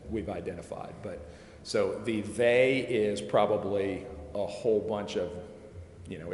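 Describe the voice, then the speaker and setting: A man explaining finance details at a city committee meeting, measured and full of fillers and restarts. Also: on the low side, a little mellow. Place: council chambers. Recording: echoey chamber with long reverb, faint noise.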